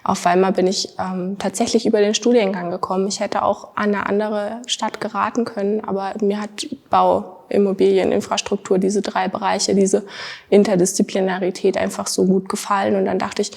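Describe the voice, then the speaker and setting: A woman in her twenties from Thuringia, a master's student giving earnest interview answers, often pausing on fillers and repeating words for stress. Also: medium pitch, level tone, vocal fry, darkish tone. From Thuringia, Germany. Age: 20s.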